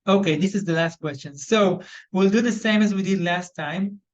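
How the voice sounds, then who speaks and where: A man's voice: high-pitched, normal speed, dull audio. A man with an Israeli accent leading a live online job search training. He talks loosely and conversationally, with many fillers and restarts. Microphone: video call.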